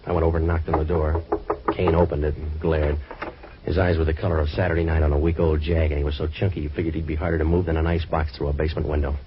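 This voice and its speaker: An actor playing a sardonic, wisecracking American private eye in a deadpan, clipped 1940s radio detective style, on an old recording. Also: male voice, a bass voice, quick pace, lo-fi sound, noisy background. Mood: wry, matter-of-fact. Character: wisecracking hard-boiled male waterfront private eye.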